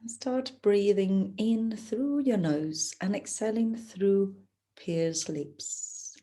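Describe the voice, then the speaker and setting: A woman teaching yoga nidra, giving gentle, soothing, unhurried guidance through a relaxation practice. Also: medium pitch. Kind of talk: guided relaxation instruction.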